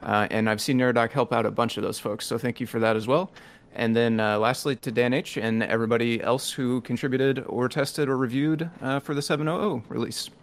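Male meeting participant, medium-pitched voice, informal and conversational. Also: steady tone, a little fast. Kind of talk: casual spoken thanks in online meeting.

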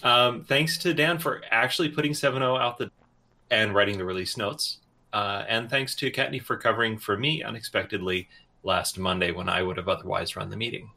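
Male meeting participant, lowish voice with a crisp, bright edge, informal delivery. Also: a little fast. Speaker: meeting participant, project contributor. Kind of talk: casual spoken thanks in online meeting.

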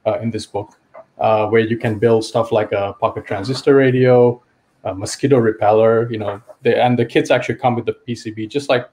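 A man's voice: lowish voice, fairly even intonation, a little fast, darkish tone. A man from Fiji talking casually and enthusiastically, as a guest at a show-and-tell. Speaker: show-and-tell guest, electronics hobbyist and engineer.

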